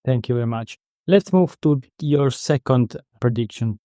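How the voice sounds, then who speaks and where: Guy hosting a podcast interview, english isnt his first language, mellow voice, kinda halting, no room echo. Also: mid-range voice, studio quality. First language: not English or German. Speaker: podcast host interviewing a guest. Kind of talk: conversational interview.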